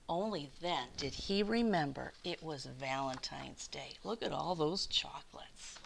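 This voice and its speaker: A woman reading a picture book aloud to children, expressively and with deliberate emphasis. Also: low-pitched, expressive, vocal fry, slight background noise. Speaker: children's storyteller.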